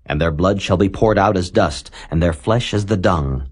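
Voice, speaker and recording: A man giving a solemn, measured, declamatory scripture reading as a Bible narrator. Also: sits low, slightly rough.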